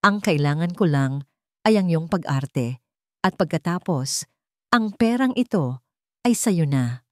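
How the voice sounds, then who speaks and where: A woman's voice: low voice, expressive, measured pace, no echo, studio quality. A woman voicing a cold, scheming, wealthy elderly villainess in a commanding, menacing way for a dramatic story.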